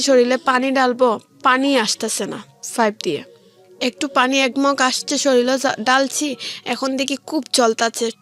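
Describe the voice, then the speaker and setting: Female interview guest, Bangladeshi Bengali accent, high voice, halting colloquial storytelling, faint music underneath. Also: fairly bright tone. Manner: colloquial, halting, repeats phrases.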